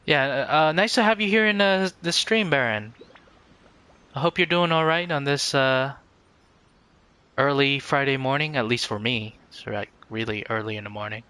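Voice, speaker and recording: A man hosting a live game stream, chatting casually and politely with viewers in a halting way, searching for words. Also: medium pitch, sharp tone, smooth.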